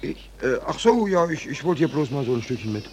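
An actor playing a hapless stateless drifter in a 1940s German radio play, colloquial and hesitant, naive but easily indignant. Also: male voice, fairly high pitch, sing-song, fairly quick, a little mellow, slight background noise. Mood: sheepish, stalling.

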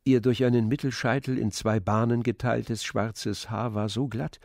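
A man narrating a literary story in a measured, descriptive manner. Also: medium pitch, fairly even intonation, gravelly, a little mellow, dry recording, clean studio sound.

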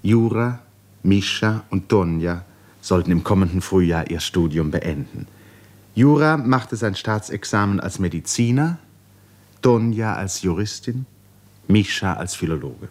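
A man narrating a literary 1950s radio play with dramatic, deliberate phrasing that often falls to hushed endings. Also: low-pitched, lively intonation. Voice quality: breathy, husky.

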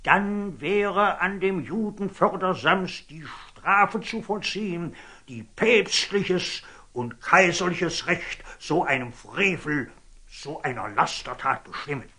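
A man's voice: high voice, animated, slow pace, bright, forceful tone, breathy edge, heavily acted. An actor playing a pompous, zealous and scheming old churchman in declamatory German radio drama style. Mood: pompous, pitiless. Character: zealous, scheming, fanatical old churchman, shifting from outrage to fawning. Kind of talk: theatrical German radio drama acting of classic stage verse.

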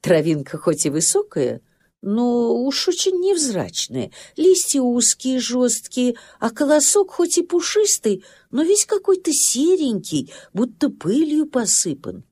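Female narrator for children's tales: animated intonation, slightly husky, medium pitch, music behind. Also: unhurried. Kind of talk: expressive children's storybook narration.

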